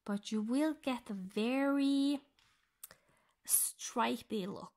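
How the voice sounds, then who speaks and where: Slow, soft-spoken Norwegian woman. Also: fairly high pitch, gravelly. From Norway. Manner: soft-spoken, often half-whispered, thinking aloud with pauses. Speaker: cross-stitch vlogger. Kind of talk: casual stitch-along tutorial.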